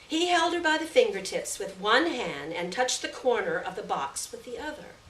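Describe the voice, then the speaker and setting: A woman reading a literary short story aloud at a live event, with measured, deliberate phrasing and stressed words. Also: mid-range voice, animated, a bit bright. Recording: distant roomy live recording, faint noise.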